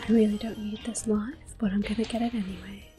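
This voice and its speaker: A woman giving gentle, unhurried, soft whispered ASMR commentary on a game as the host. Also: medium pitch, fairly even intonation, over music.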